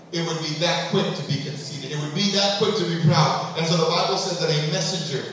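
A male pastor preaching a sermon on a large auditorium stage, emphatic, swinging between hushed and loud, and speaking straight to the church. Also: sits high, slight background noise. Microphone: room sound from a far camera. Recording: echoey hall, heard from far off.

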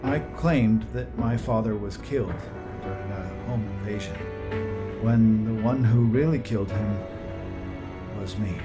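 An actor playing a remorseful middle-aged tech executive in a video game, speaking formally and solemnly as if making a public confession. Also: male voice, lowish voice, natural voice. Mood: heavy remorse. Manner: solemn, formal, deliberate phrasing. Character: remorseful male tech CEO confessing his crimes. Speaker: company chief executive.

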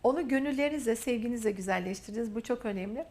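A woman's voice: a little high, rapid-fire, a little mellow. A woman hosting a university TV interview, praising her guest in repeated emphatic phrases. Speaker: interview host. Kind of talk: warm, effusive interview talk.

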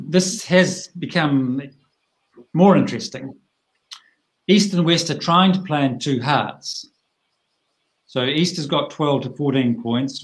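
Middle-aged man, bridge teacher, chatty and plain-spoken, video call audio, medium pitch. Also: low-quality recording.

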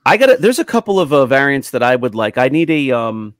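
A man's voice: mid-range voice, sing-song, fast talker, no echo, clean studio sound. A man hosting a podcast in casual group chat. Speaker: podcast host.

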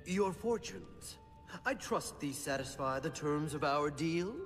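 An actor playing a smug, bossy master of ceremonies in an animated film, pompous and theatrical. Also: male voice, fairly high pitch, slow pace. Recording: long reverb, faint music behind.

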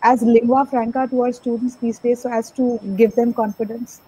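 A woman's voice: on the high side, level tone, moderate pace, mellow, slight background noise, low-quality recording. A woman moderating a panel discussion over a video call, putting questions to a speaker. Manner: asks questions.

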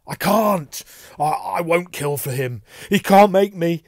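A man's voice: high voice, takes it slowly, no echo, studio quality, pitched above the performer's own voice. A man voicing a terrified, agitated man in a horror story, pleading to be rid of a demon. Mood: frightened. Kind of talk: dramatic horror story reading.

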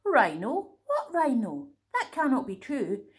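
A woman's voice: high-pitched, wildly swooping pitch, a little slow, heavily acted. A woman voicing an alarmed farm woman who accuses the animals.

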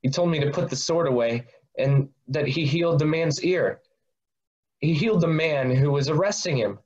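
A man playing a remorseful, impulsive disciple in an amateur dramatic reading, speaking in a confessional, sometimes halting way. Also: a little high, darkish tone, natural voice. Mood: rueful. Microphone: video call. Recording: muffled video-call audio.

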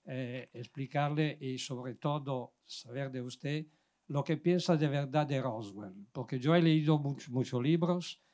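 A man's voice: mid-range voice, a little slow, darkish tone. An older man from Italy, speaking Spanish with an Italian accent, rambles through a long question from the outdoor audience on a handheld mic, searching for words as he goes. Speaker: audience member asking a question.

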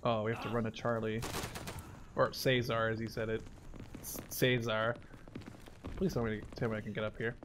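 A man's voice: lowish voice, flat delivery, moderate pace, pauses often, slight background noise. A man giving casual, rambling gameplay commentary as a gaming YouTuber, drifting easily off track. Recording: loud gunfire and artillery behind the voice. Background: shooter game gunfire, explosions.

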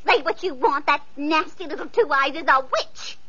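An actress playing a vain, spiteful sister in an old radio play. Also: female voice, sits high, lively intonation, normal speed, sharp tone. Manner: spiteful, flustered. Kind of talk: 1940s radio acting. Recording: lo-fi old radio recording with hiss.

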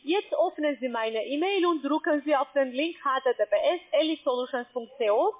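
Lady phone agent, broken grammar, high voice, phone-line sound. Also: expressive, normal speed. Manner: instructing step by step, broken grammar. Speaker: scam call center agent. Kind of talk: phone call.

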